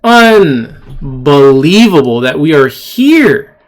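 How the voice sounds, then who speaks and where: An indignant commentator delivering an opinionated rant at a slow, deliberate pace. The pitch swings widely for emphasis, with phrases repeated and rhetorical questions thrown out one after another. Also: very animated. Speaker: video commentator. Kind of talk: opinionated rant commentary on shared screen clips.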